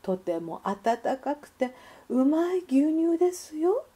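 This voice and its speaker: A woman voicing a gentle, caring mother duck in a children's storybook, in a soothing, polite manner. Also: sits high, very animated, takes it slowly, pitched above the performer's own voice, heavily acted.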